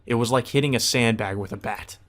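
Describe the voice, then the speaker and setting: A man's voice: mid-range voice, fairly quick, fairly bright tone. A man reading visual novel narration aloud in a dramatic first-person storytelling style for a let's play.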